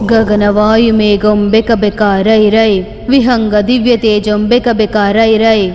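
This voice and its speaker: A woman voicing a stern but reassuring old sorceress grandmother in an animated horror bedtime story, giving firm orders and solemn incantations. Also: medium pitch, takes it slowly, big-room sound, faint music behind, lightly acted. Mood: chanting.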